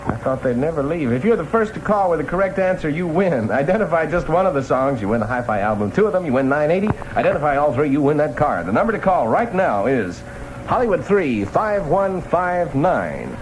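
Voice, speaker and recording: A man's voice: fairly high pitch, sing-song, fairly quick, rough recording. An American man hosting a 1960 top-40 radio show, with playful, hip patter and live ad reads. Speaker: radio disc jockey. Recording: 1960 radio aircheck with music. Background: music.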